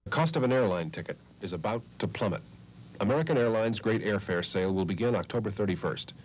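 A man's voice: fairly low pitch, lively intonation, quick pace, a little hiss or hum, poor audio. A middle-aged man delivering a scripted commercial address to camera as an airline spokesman.

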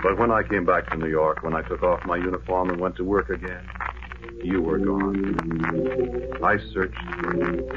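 An actor playing a weary, lovelorn war veteran, narrating in a brooding, poetic, measured way in a 1940s radio drama. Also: male voice, low-pitched, fairly even intonation, close to an everyday voice. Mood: yearning. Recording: noisy, dull 1940s radio recording.

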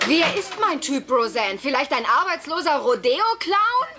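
An actress playing a pushy, indignant single sister in a German-dubbed sitcom, dramatic in manner. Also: female voice, high voice, big pitch swings, a little slow. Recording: noisy TV sitcom soundtrack.

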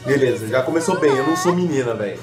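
A man's voice: mid-range voice, lively intonation, fast talker, roomy sound, over music. A young adult man giving casual, rambling gameplay commentary, full of slang and self-mocking jokes, a little congested from a cold. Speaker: gaming youtuber.

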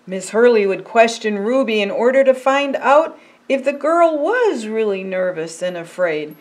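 Older female storyteller, unhurried and expressive, pitched a little high, children's storytime read. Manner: slow, deliberate, expressive storytelling. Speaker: pastor reading a children's story. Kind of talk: picture-book storytime reading. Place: indoors by a fireplace.

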